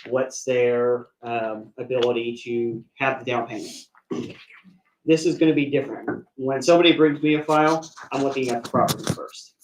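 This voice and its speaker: A man of middle pitch lectures on lending at a seminar. He explains terms step by step in a plain tone, with frequent ums and uhs. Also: fairly even intonation, normal speed, a little hiss or hum, rough recording. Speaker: bank commercial lender, guest speaker. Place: seminar room.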